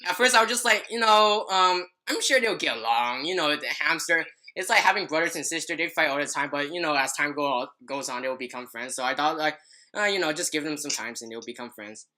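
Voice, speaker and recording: A woman's voice: low voice, animated, a little fast, bright, forceful tone. A young adult woman telling a personal story in casual podcast chat, rambling and often stumbling over her words.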